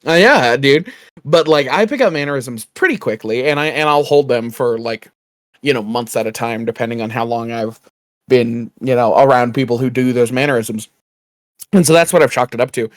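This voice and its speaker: Soft-spoken man with a gravelly edge. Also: mid-range voice, animated, normal speed, no room sound, clean studio sound. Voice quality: soft, slightly raspy and gravelly. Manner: quiet, rambling, many fillers. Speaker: podcast co-host. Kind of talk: casual chat. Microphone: home studio microphone.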